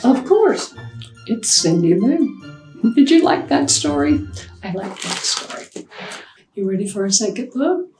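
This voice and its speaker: A woman reading aloud and talking to young children as a storytime host, expressive and prompting them with simple questions. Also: medium pitch, measured pace, long pauses, soft background music. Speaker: children's librarian hosting storytime. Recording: roomy echo, distant microphone.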